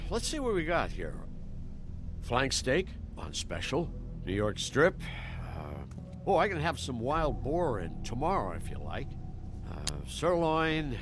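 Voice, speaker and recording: A man playing a timid, evasive butcher in a dark fairytale video game, stammering and apologetic. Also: medium pitch. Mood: uneasy, stalling. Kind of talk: dramatic video game acting. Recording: music behind the voice.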